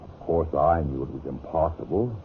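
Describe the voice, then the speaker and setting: A deep-voiced, sly radio-drama schemer. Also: male voice, lively intonation. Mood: grave confiding. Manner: falsely friendly, insinuating, deliberate emphasis. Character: smooth, sly, scheming husband and business partner. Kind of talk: 1950s radio drama acting. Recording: dull, noisy old radio recording.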